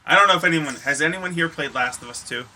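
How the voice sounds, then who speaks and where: A man hosting a podcast in casual banter with friends, joking and swearing with excitable energy. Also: on the high side, fast, low-quality recording. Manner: jokey, profane, excitable. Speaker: podcast host.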